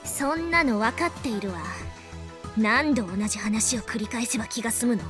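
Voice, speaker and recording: A woman's voice: on the high side, lively intonation, fast, lightly acted. A woman voicing a curt, petite young genius researcher. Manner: sharp, impatient retorts. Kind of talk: anime game voice acting in Japanese. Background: game background music.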